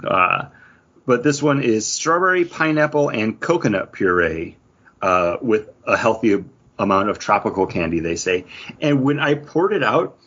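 Husky, low-voiced man chatting. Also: expressive, normal speed, a bit bright, muffled recording. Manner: enthusiastic. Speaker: podcast host. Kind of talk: casual chat.

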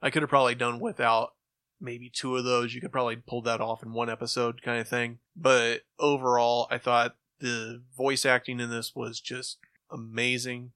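A man's voice: medium pitch, level tone, normal speed, bright, forceful tone, clear, resonant tone, dry recording. A man co-hosting a podcast, casually reviewing stories in a slangy way and acting out imagined reactions as he talks. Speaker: podcast co-host. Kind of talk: casual review chat about old-time radio.

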